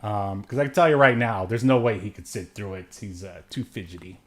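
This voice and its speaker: A man's voice: lowish voice, a little fast, a bit bright. A man co-hosting a podcast, chatting casually about a movie in a joking, rambling way. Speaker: podcast co-host. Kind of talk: casual movie review chat.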